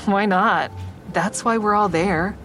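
A woman's voice: medium pitch, slow pace, fairly bright tone, soft background music. A woman playing an awkward, smitten survivor who narrates her own story in an audio drama, confiding and self-deprecating. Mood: curious, confiding.

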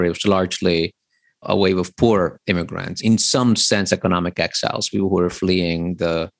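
A man's voice: sits low, gravelly, no room sound. A man in his forties from the Dominican Republic, a lecturer telling his life story as a podcast guest, reflective, with fillers. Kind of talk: podcast interview.

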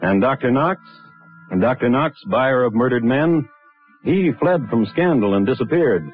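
An actor playing a wry, deliberate narrator of historic crimes in a 1950s radio drama. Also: male voice, mid-range voice, animated, natural voice. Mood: wry, deadpan. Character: dry, deadpan male storyteller of historic crimes. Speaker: narrator and host. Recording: tinny old radio recording, faint music.

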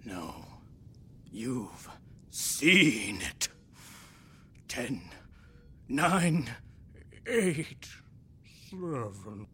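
An eccentric alien warrior, speaks slowly and swoops grandly through every phrase. He is a one-armed, awestruck patient in an audio drama, with a low rumble of noise behind him. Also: very animated, fairly bright tone, exaggerated voice. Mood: insistent, drowsy. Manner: theatrical, drawn-out delivery, dramatic pauses. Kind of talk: audio fiction acting.

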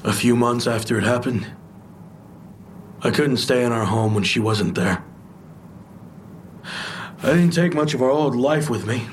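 A man's voice: medium pitch, a little slow, long pauses, a little hiss or hum, natural voice. An actor playing a desperate, guarded and grieving man, worn down, in an audio drama. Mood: defeated, withdrawn.

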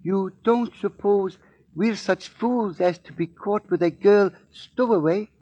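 A man's voice: high voice, unhurried, warm tone, breathy edge. An actor playing a cunning East Indian male smuggler with formal, taunting phrasing in a 1940s radio drama. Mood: smug menace. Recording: muffled vintage 1940s radio recording.

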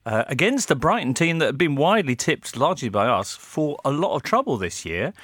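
A man's voice: on the high side, fairly quick, no echo. A man hosting a football podcast, playful and punning as he keeps the chat moving. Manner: playful, punning, lively presenting. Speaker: podcast host.

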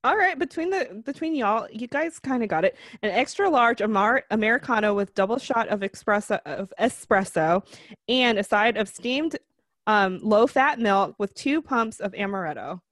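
A woman's voice: fairly high pitch, lively intonation, moderate pace, a bit bright, no echo. Upbeat woman hosting a podcast. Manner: upbeat, leads trivia quiz. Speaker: podcast host. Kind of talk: casual podcast chat.

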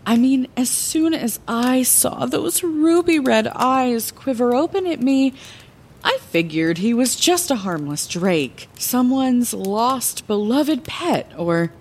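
A woman's voice: fairly high pitch, lively intonation, slow, darkish tone, full character voice. An actress playing a fond, playful middle-aged woman lighthouse keeper, telling her past as a theatrical bedtime story in a fantasy audio drama. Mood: wistful, vivid. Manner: theatrical, wordy, chatty asides.

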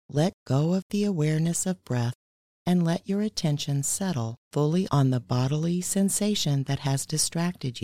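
A woman hosting and leading a guided meditation in a measured, instructional way, reading scripted narration. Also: deep voice, dark tone, dry recording, clean studio sound. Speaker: meditation guide and podcast host.

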